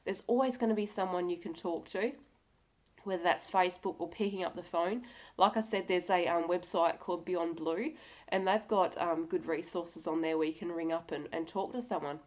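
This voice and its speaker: A woman's voice: on the low side, steady tone, normal speed. A woman with a slightly nasal, cold-affected voice talking candidly to viewers in an earnest, direct and encouraging way. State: has a cold. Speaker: video blogger. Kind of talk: candid personal talk to camera.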